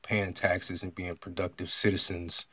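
A man from the United States talking straight to his audience about an online argument. He speaks emphatically and often clears his throat. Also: low voice, little pitch movement, moderate pace, fairly bright tone, dry recording. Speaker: vlogger. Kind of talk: monologue retelling an online argument.

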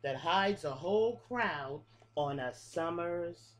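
A woman's voice: on the low side, animated, slow. Sing-song woman reading aloud to preschoolers. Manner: sing-song rhythmic reading with explanations to children. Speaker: pre-K teacher. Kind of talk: children's picture book read-aloud. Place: small room with a classroom wall display. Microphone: webcam at close range.

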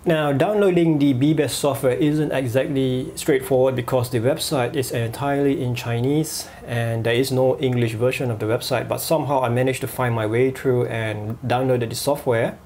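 A man reviewing a walkie-talkie at a desk, pausing often with fillers and restarts. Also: medium pitch, steady tone, darkish tone, slight background noise. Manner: halting, many fillers and restarts. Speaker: gadget reviewer. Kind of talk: product review voice-over.

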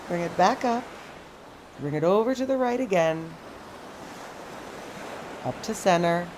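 Female stretch instructor, middle-aged, lowish voice, steady step-by-step cues, beach recording with surf. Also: unhurried. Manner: calm step-by-step cueing. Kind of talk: guided stretching class. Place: sandy beach outdoors by the ocean. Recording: outdoor surf noise behind the voice. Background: ocean waves.

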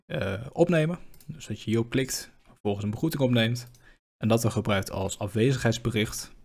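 A man narrates a software walkthrough in an informal tutorial style. His mid-pitched voice has a slightly husky edge as he explains things step by step.

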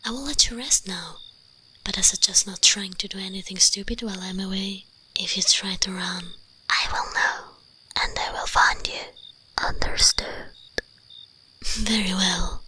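A woman voicing a haughty, commanding vampire queen in a breathy, condescending whisper for an ASMR roleplay. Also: medium pitch, steady tone, bright, forceful tone, low-quality recording. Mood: stern, dismissive. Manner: imperious, condescending, slow deliberate phrasing.

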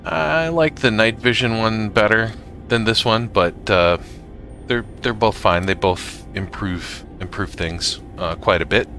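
A man with a low, bright and crisp-edged voice walks through a casual gaming tutorial. He speaks slowly and haltingly, breaking off mid-sentence before carrying on. Faint music plays behind him. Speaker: gamer making a tutorial video. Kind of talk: casual software tutorial narration.

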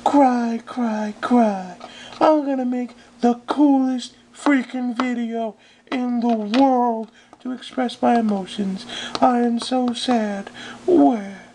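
A man doing squeaky falsetto vain young woman. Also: fairly even intonation, takes it slowly, mellow, slight background noise, full character voice, poor audio. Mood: sad. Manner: high falsetto, breathless, bubbly and boastful. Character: giggly vain young woman who is a video maker. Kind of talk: toy figure parody play.

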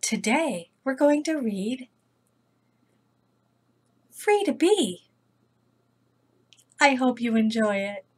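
A woman reading a children's picture book aloud as a storyteller, with deliberate, careful phrasing. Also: on the high side, big pitch swings.

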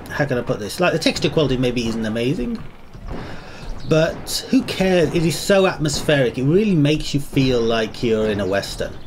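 A man with a slightly croaky voice giving rambling, enthusiastic commentary while playing a game. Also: mid-range voice, slight background noise. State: slightly croaky, under the weather. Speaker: gameplay commentator. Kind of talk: casual gameplay commentary.

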